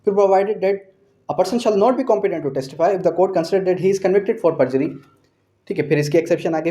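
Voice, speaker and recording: A man's voice: high-pitched, mellow. A man with a Pakistani accent teaching an exam-prep law lecture, explaining by asking questions and answering them, mixing in English legal terms. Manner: mixes English legal terms and quotations into speech.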